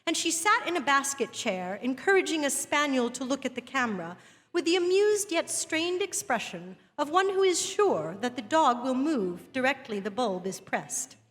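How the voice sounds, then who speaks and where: A woman's voice: high-pitched, very animated, normal speed, fairly bright tone. A woman performing a witty, indignant woman essayist in an emphatic, theatrical stage reading with arch, scornful wit. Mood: wry, observant. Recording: echoey theatre hall, long reverb.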